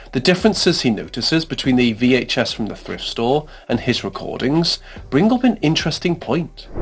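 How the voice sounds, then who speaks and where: A man reading a scripted explainer narration in a measured, formal way. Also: mid-range voice. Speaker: narrator of video essays. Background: background music under voice-over.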